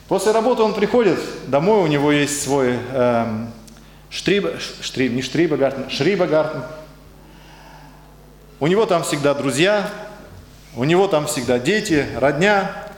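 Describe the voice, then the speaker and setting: A man's voice: fairly high pitch, animated, a little slow, pauses often. A man preaching a conversational sermon in speech, hesitant and searching for words, and putting questions to his congregation. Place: church hall. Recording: echoey hall with faint ambience.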